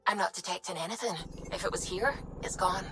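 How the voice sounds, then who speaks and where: An actress playing an earnest, curious and sociable young science crew member in a video game, chatty with hesitant restarts. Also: female voice, mid-range voice, brisk, background noise, close to an everyday voice. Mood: focused.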